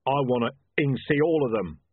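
A man voicing an impatient, slow-witted henchman, blunt and sulky, in a storybook reading. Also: medium pitch, expressive, breathy edge, no room sound, narrow, tinny audio, voice pushed up.

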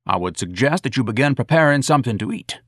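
A man's voice: medium pitch, animated, fast talker, no room sound, clean studio sound, voice pushed up. A man voicing a pompous, theatrically disdainful grown son in an audiobook, with over-formal, indignant diction. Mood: haughty indignation.

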